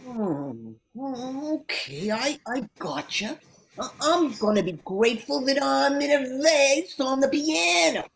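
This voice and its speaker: A woman's voice: high-pitched, expressive, slow, creaky, exaggerated voice, poor audio. A woman playing a grumbling, slangy old sprig of Christmas holly in a radio play, wisecracking and drawling. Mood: softened.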